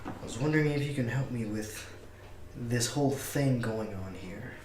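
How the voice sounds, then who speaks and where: A man playing a tabletop game with a slow, wry delivery. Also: medium pitch, a little mellow, a little hiss or hum. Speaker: player. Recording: echoey room, far from the mic, faint music.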